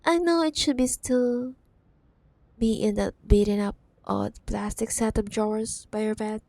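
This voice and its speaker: Casting: woman as possessive corporate villainess ex, teasing and condescending, unhurried, intimate ASMR roleplay, very dry recording. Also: a little high, fairly even intonation, creaky, darkish tone, no room sound. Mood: demanding, possessive. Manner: teasing, condescending, drawn-out words with pet names. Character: possessive, teasing cyberpunk CEO ex-girlfriend villainess. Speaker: audio roleplay voice actor. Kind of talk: ASMR audio roleplay acting.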